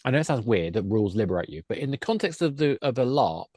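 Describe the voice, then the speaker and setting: A man's voice: mid-range voice, very animated, quick pace, warm tone, no room sound, studio quality. A man speaking as an enthusiastic podcast guest, explaining games in a conversational way.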